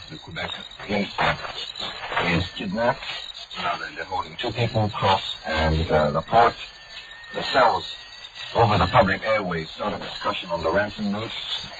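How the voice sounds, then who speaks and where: A man's voice: low voice, unhurried. A man, a news commentator, giving an unscripted recap in a casual way with many fillers. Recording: lo-fi, noisy shortwave.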